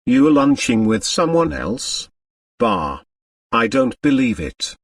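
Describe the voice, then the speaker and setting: A man's voice: mid-range voice, wildly swooping pitch, takes it slowly, gravelly, no room sound, clean studio sound, distant mic, exaggerated voice. A man voicing a seductive, possessive foreign woman dancer in an audiobook, coaxing and emphatic. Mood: coy, then scornful. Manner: dramatic, coaxing, emphatic repetition. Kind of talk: audiobook character acting.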